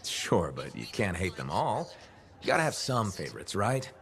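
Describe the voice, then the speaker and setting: Lively, low-voiced wry freelancer. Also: male voice, moderate pace. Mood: wry, teasing. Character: easygoing male freelancer pilot, wry, friendly banter. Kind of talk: video game dialogue. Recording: music behind the voice.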